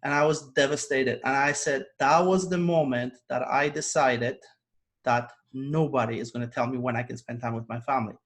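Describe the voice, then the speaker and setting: A man's voice: mid-range voice, steady tone, normal speed. A man, a guest telling his story earnestly on a video call and laughing often. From Poland. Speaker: guest, online business coach. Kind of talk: casual interview over video call.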